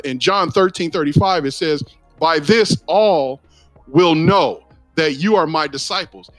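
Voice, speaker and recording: A man preaching a sermon, emphatic and direct, often calling out to the listeners as ladies and gentlemen. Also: high voice, dry recording.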